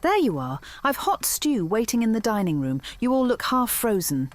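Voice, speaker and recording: A woman playing a welcoming, plain-spoken housekeeper in a radio drama, homely and brisk, with some background sound. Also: fairly high pitch, sing-song, a little hiss or hum. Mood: welcoming, firm. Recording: faint indoor sound effects behind.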